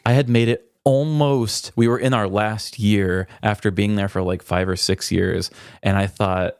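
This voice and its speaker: Low-pitched podcast co-host telling stories. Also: fairly even intonation, measured pace, darkish tone, clean tone, dry recording, studio quality. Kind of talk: casual chat, personal storytelling.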